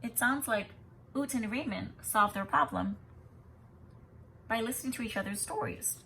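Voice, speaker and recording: A woman teaching young children, reading a picture book aloud expressively and explaining as she goes in an animated way. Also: on the high side, moderate pace, creaky, a little hiss or hum. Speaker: kindergarten teacher.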